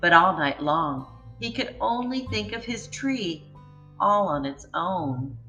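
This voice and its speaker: Slow, bright-voiced woman reading a storybook. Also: fairly low pitch, soft background music, poor audio. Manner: slow, gentle, expressive. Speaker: children's book reader. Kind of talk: storybook read-aloud.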